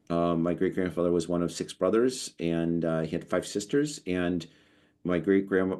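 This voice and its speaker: Deep-voiced man telling a rambling story. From United States. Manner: animated, storytelling, lots of restarts. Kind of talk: casual conversation.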